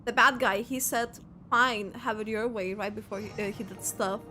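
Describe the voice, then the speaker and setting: A woman's voice: medium pitch, steady tone, normal speed, sharp tone, faint music behind. A woman streamer reacting live to a game, chatting and thinking aloud expressively.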